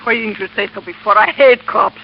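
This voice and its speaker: A woman's voice: mid-range voice, a little fast, gravelly, natural voice. An actress playing a tough, brusque woman in an old radio thriller. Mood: brusque, commanding. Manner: brusque, commanding. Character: tough, bold Spanish-speaking woman who hates cops. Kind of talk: 1940s radio acting. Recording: noisy, muffled old radio recording.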